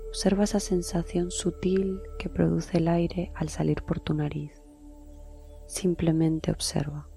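A woman leads a guided meditation in a low, gravelly, mellow voice. She speaks very slowly and softly, often dropping to a whisper. Faint music plays underneath. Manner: slow, soft and soothing, partly whispered. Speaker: psychologist guiding meditation.

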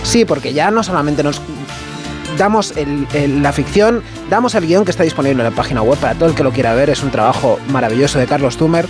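A man with a fairly high, animated voice bantering casually as he presents a radio segment. Music is clearly heard behind him. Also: quick pace.

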